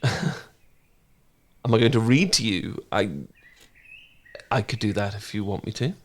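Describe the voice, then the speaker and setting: A man performs a caring, easygoing male housemate in a romantic audio roleplay. His voice sits a little low, with a soft and intimate delivery. He talks in an unhurried, reassuring, conversational way, gentle and quietly fond. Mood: relaxed, easygoing.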